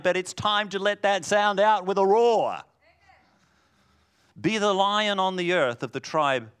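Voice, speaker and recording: A man preaching a sermon in a booming voice, swinging from loud declarations to hushed lines.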